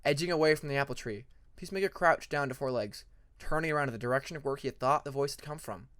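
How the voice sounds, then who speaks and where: A man's voice: mid-range voice, quick pace, a bit bright, no echo, studio quality. A man narrating scripted fiction as an audiobook reader, with a dramatic storytelling manner.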